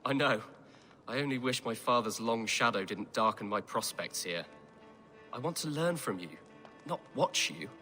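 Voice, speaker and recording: An earnest young noble, insecure about living up to his father. His voice is bright and clear, carried by a long reverb. Music plays softly under him in the game cutscene. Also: medium pitch, lively intonation, normal speed. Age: young adult. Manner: earnest, self-doubting.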